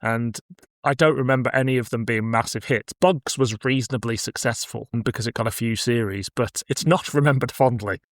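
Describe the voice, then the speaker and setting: A middle-aged man talks as a podcast host in a casual, opinionated way. His pitch is average and his phrasing is colloquial. The sound is close and dry, with very little room. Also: a little fast, no echo. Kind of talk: casual chat about cult science fiction.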